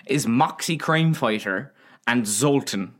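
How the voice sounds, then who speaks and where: A young Irish man joking his way through a relaxed podcast chat. His voice swings widely in pitch as he teases and riffs, laughing often and swearing casually. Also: takes it slowly. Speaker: podcast co-host.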